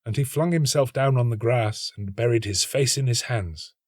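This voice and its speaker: A man giving a scripted, measured and expressive storybook narration. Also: medium pitch, no room sound, studio quality. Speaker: actor reading a short story.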